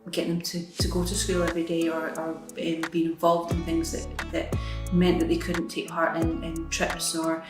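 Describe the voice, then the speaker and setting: Low, even-voiced woman in a roomy space. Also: moderate pace. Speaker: community organisation staff member. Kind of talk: documentary interview. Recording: roomy, guitar music bed. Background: guitar music.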